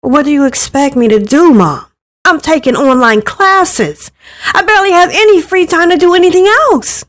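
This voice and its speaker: A woman's voice: extremely high pitch, moderate pace, voice pushed up. A woman voicing an insecure, defensive young woman student with a plaintive, emotional, exasperated manner in a visual novel.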